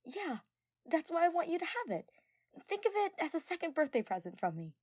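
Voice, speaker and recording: A woman voicing an energetic, devoted teenage girl in an audio story, earnest and gushing and easily flustered. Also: very high voice, brisk, no room sound, studio quality, voice pushed up. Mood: eager, caring.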